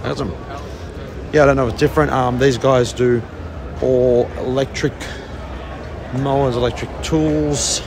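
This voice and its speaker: A man's voice: mid-range voice, steady tone, a little slow, long pauses, a crowd in the background. A man with an Australian accent, casually narrating a walking tour of a trade show, chatty and offhand as he points things out. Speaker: vlogger touring a trade show. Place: large indoor convention hall, trade show floor. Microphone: handheld phone camera, filming as he walks. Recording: noisy convention hall on a phone.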